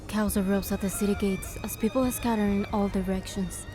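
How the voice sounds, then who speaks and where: A woman's voice: medium pitch, fairly even intonation, normal speed, music in the background, lightly acted. A woman voicing a proud goddess in a game, delivering dramatic, solemn scripted narration. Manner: solemn, storytelling. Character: wounded goddess narrating her suffering with pride.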